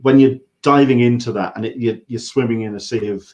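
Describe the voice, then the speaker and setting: Male host, English accent, mid-range voice, casual conversational interviewing with a halting, think-aloud delivery. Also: mid-range voice, fairly even intonation, darkish tone. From England.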